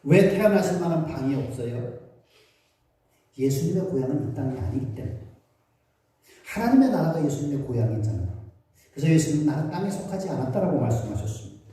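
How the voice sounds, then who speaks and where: A man's voice: fairly high pitch, animated, brisk, pauses often, mellow. A middle-aged male pastor giving a Christmas sermon, telling stories and asking his congregation questions. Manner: storytelling, acting out voices of villagers and asking the congregation questions. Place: church sanctuary. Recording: very echoey church hall, voice from some distance.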